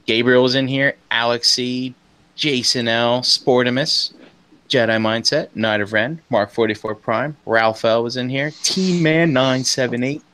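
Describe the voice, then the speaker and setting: A man's voice: mid-range voice, unhurried, bright, forceful tone. Laid-back man reading off chat names. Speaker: podcast co-host.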